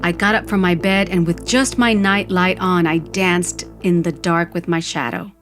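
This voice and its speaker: Low-voiced woman narrating a story. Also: fairly even intonation, moderate pace, fairly bright tone, soft background music. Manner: wistful, vivid storytelling. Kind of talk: scripted narration of audio fiction.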